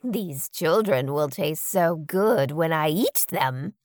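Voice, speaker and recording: A woman's voice: low voice, expressive, slow pace, a little mellow, no room sound, studio quality, pitched above the performer's own voice. A woman voicing a mean, greedy old witch in a storybook tale, speaking in a menacing, wheedling way. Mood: gleeful menace.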